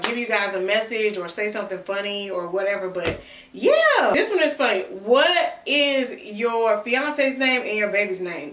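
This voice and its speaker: Woman, 30s, bright-voiced casual vlogger, talks from a few feet off in an echoey room. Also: medium pitch, distant mic. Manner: chatty, answers read-out questions, y'all and girl slang. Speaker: content creator. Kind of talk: casual Q&A chat to camera. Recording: echoey room, mic set back.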